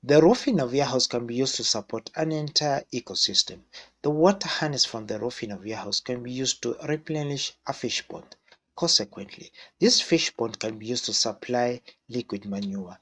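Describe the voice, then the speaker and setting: A man's voice: medium pitch, lively intonation, moderate pace, fairly bright tone. A man reading scripted voice-over narration as a reporter for a newsreel.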